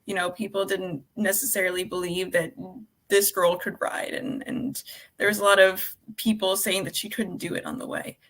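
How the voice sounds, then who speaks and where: A woman's voice: mid-range voice, flat delivery, normal speed, fairly bright tone. A woman co-hosting a podcast and asking a long, chatty question. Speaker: podcast co-host. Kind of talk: interview question.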